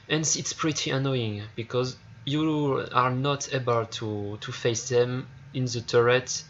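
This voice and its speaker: French-accented man, halting gaming commentary. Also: medium pitch, a bit bright, muffled recording, slight background noise. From France. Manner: halting, searching for words, many fillers and repeated starts. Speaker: gaming YouTuber. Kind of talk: casual game review commentary.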